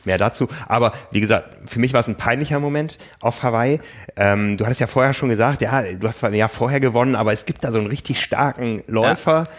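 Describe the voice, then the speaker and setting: A man hosting a podcast, talking casually and thoughtfully, often pausing with fillers. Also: medium pitch. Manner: thoughtful, hesitant with many fillers. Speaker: podcast host and magazine editor.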